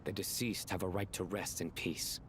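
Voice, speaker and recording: An actor playing a firm, reasoning council member in a video game, formal and resolute. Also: male voice, steady tone, normal speed, fairly bright tone, a little hiss or hum. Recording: faint rumbling game sound behind.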